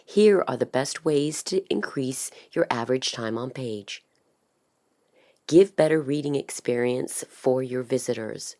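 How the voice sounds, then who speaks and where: A woman reading a scripted explainer as a voice-over artist, in a measured, instructional way. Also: rumbling low, warm tone.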